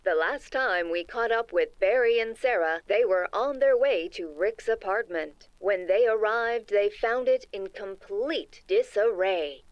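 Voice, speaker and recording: A slightly dull, dry recording of a woman acting as a narrator for a spooky audio drama. She recaps the mystery slowly and deliberately, in measured storytelling tones. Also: mid-range voice, no room sound.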